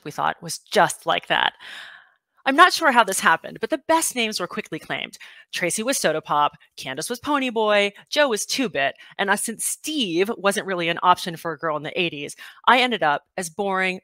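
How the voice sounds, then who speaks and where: A woman in her forties from Taiwan, reading her own personal essay aloud on a video call through a headset mic, with sudden lifts in pitch. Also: bright, forceful tone, dry recording. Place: home room with bookshelves, indoors.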